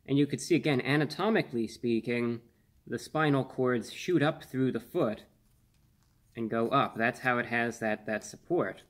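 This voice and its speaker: Young man, mid-pitched voice, food reviewer, earnest and measured, slightly formal wording, talking to camera indoors. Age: 20s. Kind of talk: casual product review to camera. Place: small indoor room.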